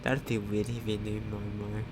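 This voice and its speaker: A gamer giving casual let's play commentary, reacting informally in short remarks with a creaky voice. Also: mid-range voice, flat delivery, moderate pace, noisy background. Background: game music.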